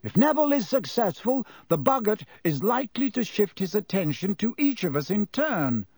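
A man voicing a kind, calm, middle-aged male professor in an audiobook, speaking in a patient, encouraging way. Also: high-pitched, moderate pace, breathy edge, no room sound, studio quality, pitched above the performer's own voice, exaggerated voice. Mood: patient teaching. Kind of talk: audiobook character voice.

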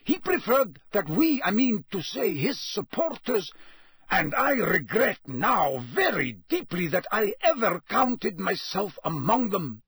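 A man's voice: very high-pitched, very animated, fairly bright tone, breathy edge, no echo, pitched above the performer's own voice, heavily acted. A man in a storybook reading, voicing an unctuous, panicky prisoner who pleads breathlessly and stammers. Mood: nervous, hurried. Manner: breathless, hurried, stammering, ingratiating.

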